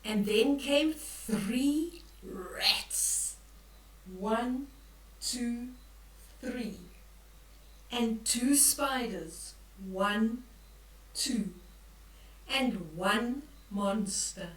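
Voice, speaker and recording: Echoey distant recording, older woman, higher-pitched voice, children's storytime reader, expressive drawn-out emphasis. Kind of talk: read-aloud bedtime story with counting and questions to young children. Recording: echoey room, distant from the mic.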